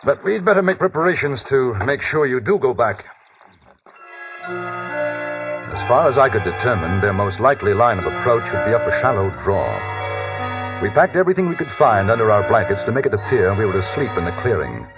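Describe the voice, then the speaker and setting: An actor playing a composed, wry English newspaperman in a radio western, with an English accent and measured, dryly ironic delivery. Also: medium pitch, expressive, natural voice. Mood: calm, reflective. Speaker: lead actor and narrator. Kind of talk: 1950s radio western acting and narration. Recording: muffled vintage radio recording with music.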